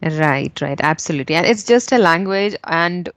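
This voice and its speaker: An Indian woman with a slightly low, steady voice hosts an English practice conversation. She speaks earnestly and encouragingly in a second language. The recording is dry and close. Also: normal speed, no echo. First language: not English. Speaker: host.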